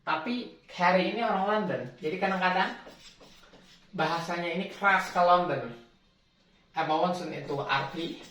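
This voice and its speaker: A man's voice: sits high, moderate pace, long pauses, bright, forceful tone, far from the mic, slight background noise. A young man explaining accents in a casual, slangy tutorial, often correcting himself mid-word. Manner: chatty, slangy, self-correcting. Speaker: language tutor. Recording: echoey room, away from mic.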